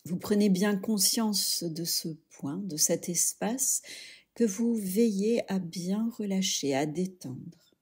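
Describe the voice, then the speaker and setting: A woman leading a guided yoga relaxation, speaking softly and soothingly in short phrases with pauses. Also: mid-range voice, husky. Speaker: yoga nidra instructor.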